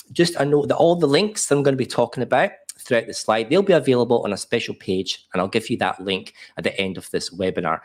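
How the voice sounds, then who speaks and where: An Australian man talks through a beginners' webinar while fighting a cold. His voice is croaky and slightly muffled. He keeps it chatty and informal, with frequent ums and small stumbles. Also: medium pitch. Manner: chatty, self-deprecating, frequent ums and uhs. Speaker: webinar presenter.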